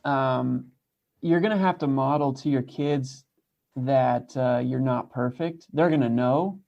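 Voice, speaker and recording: A man giving sincere, casual advice as a podcast guest, reflective and measured in how he talks. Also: medium pitch, gravelly, darkish tone. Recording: slightly dull, lo-fi audio.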